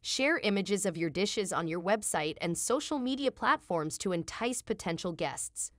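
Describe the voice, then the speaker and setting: A woman's voice: mid-range voice, expressive, normal speed, no echo, studio quality. A woman giving a scripted promotional voice-over with a polished, upbeat delivery. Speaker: narrator.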